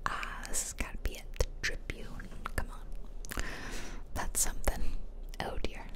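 A woman whispering casual, chatty ASMR commentary over a video game, close to the microphone. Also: a little slow. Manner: casual, chatty asides to viewers. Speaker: gaming video host. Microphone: close-up microphone, ear-to-ear whispering.

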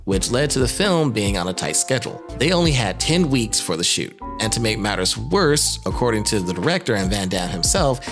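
A man's voice: on the low side, sing-song, brisk, few pauses. A man narrating a scripted video commentary as host, with casual, jokey asides and a wry manner. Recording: music under the voice. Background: background music.

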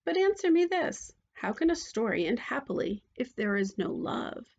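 Lady doing an old granny storyteller, stern and knowing, creaky breathy voice, firm n measured. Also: fairly high pitch, sing-song, darkish tone, muffled recording, full character voice.

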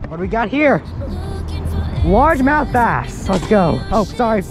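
A young man hosting a fishing vlog outdoors, talking excitedly to a handheld camera as he narrates each catch. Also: high-pitched, measured pace, over music. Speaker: fishing vlogger host. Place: outdoors by a pond and city river.

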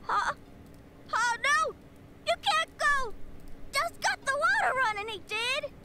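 A woman's voice: very high voice, expressive, slow, heavy background noise, full character voice. A plucky folksy young boy. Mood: anguished. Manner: folksy, drops g's. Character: young male villager boy, earnest and plucky. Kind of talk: game cutscene dialogue.

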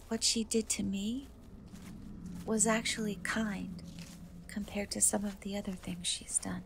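A woman voicing a kind, timid half-cat young woman in a soft, hesitant fantasy ASMR roleplay. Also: medium pitch. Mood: sober, hushed. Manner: hesitant, soft-spoken, often slipping into whisper. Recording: noticeable background noise and ambience.

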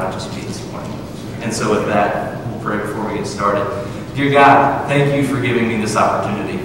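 A man in his twenties preaching a sermon as a guest, chatty and self-deprecating, keeping his voice in an even middle range. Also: on the low side. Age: 20s. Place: church sanctuary with a pulpit and band gear. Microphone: far camera, no visible mic. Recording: echoey hall from far off, noisy.

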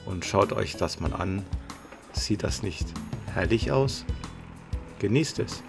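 A man's voice: fairly low pitch, slow pace, creaky, music in the background. A man in his fifties chatting casually inside a camper van and narrating a travel vlog. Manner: relaxed, chatty, agrees often. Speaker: travel vlogger. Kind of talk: casual chat and voice-over narration.

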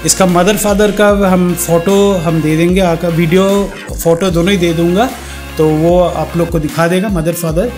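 A man's voice: high-pitched, fairly even intonation, unhurried, a little mellow, soft background music. A middle-aged man who runs a fish farm, explaining his fish to a visitor in a chatty way and walking through examples, in an indoor room full of tanks, speaking into a handheld mic.

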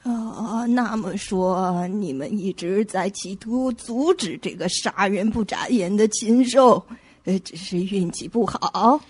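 An actress playing a grieving woman who lost her twin, with halting, anguished delivery in an audio suspense drama. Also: mid-range voice, darkish tone, breathy edge, dry recording. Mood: bitter, shaken.

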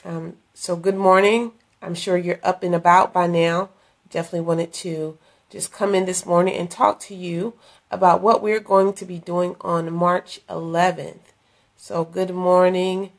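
Woman, middle-aged, hoarse from a cold, earnest chatty invitation, slightly low voice, phone held at arm's length. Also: flat delivery, measured pace. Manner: chatty, earnest, with many fillers and restarts. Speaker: ministry leader promoting an event. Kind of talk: casual live-stream invitation. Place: dim indoor room.

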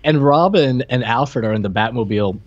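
A man co-hosting a casual, joking movie discussion, retelling scenes in a halting way, on a slightly muffled home recording. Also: mid-range voice. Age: late 30s. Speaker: podcast co-host. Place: home room on a video call.

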